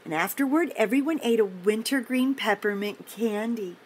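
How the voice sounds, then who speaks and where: An older woman with a fairly high voice reads a children's story aloud. She is gentle and encouraging, slipping in chatty asides and questions for little listeners. Noticeable background noise runs behind her in the room. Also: moderate pace. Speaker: children's story reader and host. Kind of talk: picture-book read-aloud with chatty asides to young children. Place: indoor home office.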